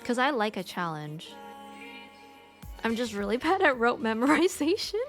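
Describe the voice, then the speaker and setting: A woman's voice: on the high side, big pitch swings, normal speed, soft background music. A woman from the United States chatting casually on a stream, rambling with many fillers and restarts. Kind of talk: casual chat.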